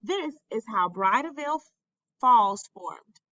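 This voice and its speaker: A woman reading a children's science book aloud with deliberate, emphatic phrasing, like a teacher for young learners. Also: a little high, expressive, a bit bright, dry recording, lo-fi sound. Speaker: school staff member reading a textbook aloud.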